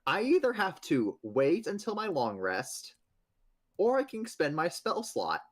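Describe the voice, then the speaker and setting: Tabletop game player, animated intonation, medium pitch, casual chat. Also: measured pace.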